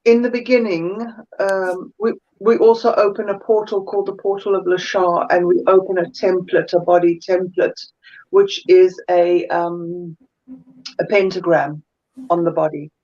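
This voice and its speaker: South african lady, slow and thoughtful, lots of ums, kinda muffled lo-fi audio. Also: on the low side, flat delivery, dark tone. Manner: thoughtful, searches for words, many fillers. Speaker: guest energy healer. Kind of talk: casual interview chat.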